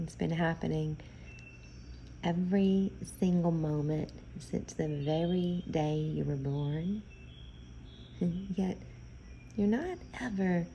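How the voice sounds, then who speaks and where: A woman guides a meditation at a very slow, gentle pace, leaving pauses between phrases. Her voice is soft-edged and mellow, with a noticeable creak. The style is soothing instruction, unhurried throughout. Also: deep voice, dull audio, a little hiss or hum.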